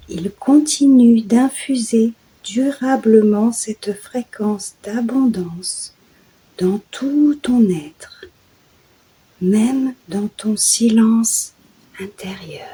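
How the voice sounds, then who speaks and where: A woman leading a guided sleep meditation in a soft, soothing, hushed voice that often drops toward a whisper. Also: fairly high pitch, extremely slow, slightly rough, rough recording. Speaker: guided meditation host and yoga nidra guide.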